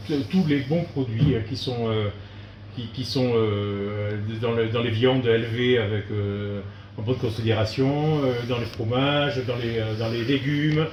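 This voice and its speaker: A man's voice: medium pitch, a little slow, darkish tone, dull audio. A middle-aged man from France, answering casually as a restaurant cook being interviewed, hesitant and repeating words as he searches for phrasing. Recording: echoey room with faint noise.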